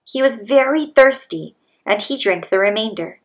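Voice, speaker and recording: A woman's voice: fairly high pitch, lively intonation, normal speed. A woman reading a children's story aloud as a storybook narrator. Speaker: storybook reader.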